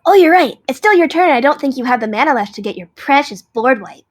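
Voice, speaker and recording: A woman's voice: high-pitched, animated, quick pace. A woman playing a cheerful, unbothered, nerdy dragon in an audio drama, chatty and matter-of-fact. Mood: breezy.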